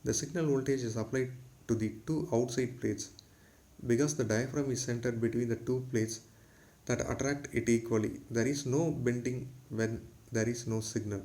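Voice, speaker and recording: Man reading a technical lecture, mellow voice. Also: medium pitch, steady tone, normal speed. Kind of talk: scripted lecture read aloud.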